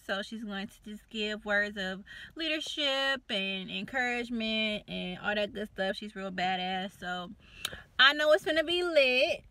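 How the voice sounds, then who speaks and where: A woman's voice: medium pitch, pushed and bright, dry recording. Upbeat slangy young woman vlogging. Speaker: vlogger and assistant publicist. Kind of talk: casual vlog chat. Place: parked car. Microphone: phone at arm's length.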